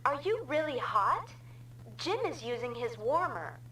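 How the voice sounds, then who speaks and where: A woman's voice: high-pitched, very animated, unhurried, sharp tone, a little hiss or hum, exaggerated voice. An actress playing an alert, persistent and inquisitive young female pilot in an anime-style video game dub. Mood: curious.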